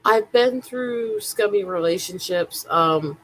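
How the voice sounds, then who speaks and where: A woman's voice: lowish voice, takes it slowly, fairly bright tone, poor audio. A woman in casual livestream chat, talking bluntly and outspokenly.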